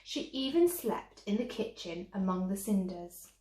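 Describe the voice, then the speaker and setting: A woman's voice: mid-range voice, lively intonation, unhurried, mellow. A woman storyteller reading a children's tale expressively, like a teacher to young listeners. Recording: echoey room.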